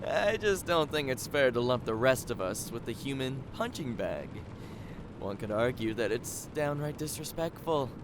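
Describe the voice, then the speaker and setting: A man's voice: mid-range voice, animated, normal speed, background noise, natural voice. A man playing a cocky, sneering teenage schoolboy bully in an audio drama, with a taunting, mocking manner. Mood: smug, mocking.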